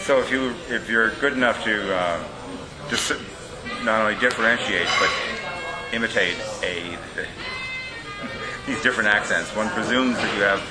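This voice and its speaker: A man's voice: on the low side, wildly swooping pitch, measured pace, long pauses, loud music behind the voice, low-quality recording. A man interviewing a guest in a casual chat, curious and asking follow-up questions.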